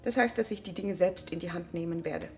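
Bitter, scornful wife played by an actress. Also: female voice, lowish voice, brisk, a little mellow, lightly acted. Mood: cold, resolute. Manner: accusing, cutting, emphatic repetition. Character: bitter, scornful wife of a company man, mother. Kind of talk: German radio drama acting.

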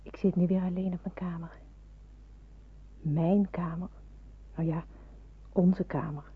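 Husky, breathy youngster in hiding. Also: female voice, fairly low pitch. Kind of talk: radio drama acting with first-person narration. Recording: muffled, phone-like old radio recording.

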